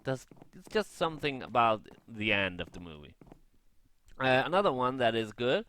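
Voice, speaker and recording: A man giving casual, unscripted gaming commentary in a halting way, often rephrasing and correcting himself. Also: mid-range voice, big pitch swings, fairly bright tone. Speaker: gaming vlogger.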